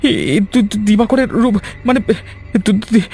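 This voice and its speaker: A man's voice: sits high, steady tone, unhurried, gravelly, darkish tone, husky, soft background music, full character voice. A man voicing a wicked, cowardly village doctor in a scripted cartoon, with an anxious, faltering delivery. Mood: terrified, begging. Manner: stammering, pleading.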